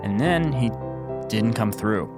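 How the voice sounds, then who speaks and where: An actor playing a loyal, wry demolitions agent in an audio drama, matter-of-fact, with an American accent. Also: male voice, fairly low pitch, expressive, slow pace. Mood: reflective storytelling. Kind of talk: audio drama acting, naturalistic dialogue. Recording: music behind the voice.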